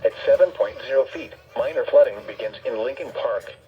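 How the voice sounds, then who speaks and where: A synthetic male announcer reading a scripted emergency weather bulletin in a flat, formal way through a weather radio speaker. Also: mid-range voice, a little fast, soft background music. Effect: played through weather radio speaker. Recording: dull radio speaker, faint background noise.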